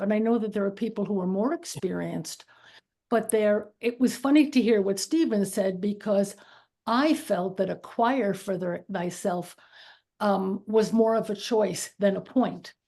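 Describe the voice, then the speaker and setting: A woman speaks up in an adult study class with a warm, mellow voice. She lays out her point in a measured, thoughtful way. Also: mid-range voice. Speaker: class participant. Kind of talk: classroom comment.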